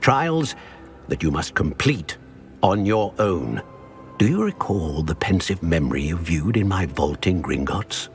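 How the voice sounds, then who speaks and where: An actor playing a grave old wizard professor in a video game, speaking in a formal, measured, solemn way. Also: male voice, low voice, very animated, soft background music, full character voice. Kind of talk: cinematic video game acting.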